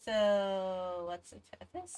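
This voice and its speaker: A woman's voice: medium pitch, takes it slowly, a little mellow, slight background noise. A playful, reactive woman chatting casually as she livestreams a game. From Australia. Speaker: streamer. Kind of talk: casual live game stream chat. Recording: slightly distant mic, faint game music.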